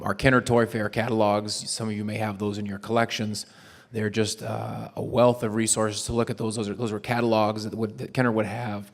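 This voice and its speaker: A hoarse man giving a chatty panel talk to a live audience, with frequent ums and restarts. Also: fairly low pitch. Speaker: convention panel presenter. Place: convention hall panel room. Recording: long hall reverb.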